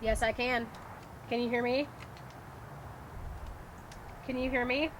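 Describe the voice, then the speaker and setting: A woman's voice: a little high, steady tone, slow pace, noisy background. A woman helping with a casual walkie-talkie test outdoors, speaking in short exchanges. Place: outdoors by a pond.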